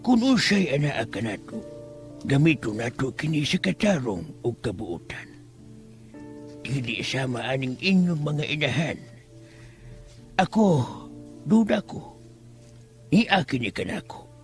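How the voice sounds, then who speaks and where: A man playing a solemn, righteous elder healer in a horror radio drama, grave, deliberate and preaching. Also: mid-range voice, big pitch swings, faint music behind.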